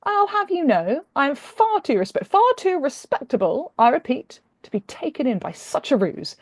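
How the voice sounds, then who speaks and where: A woman's voice: high voice, big pitch swings, moderate pace, darkish tone, no echo, voice pushed up. A woman voicing a stiff, flustered, duty-bound Regency duke with stammering indignation in a romance audiobook. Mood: stiff, indignant. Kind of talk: dramatic romance audiobook reading.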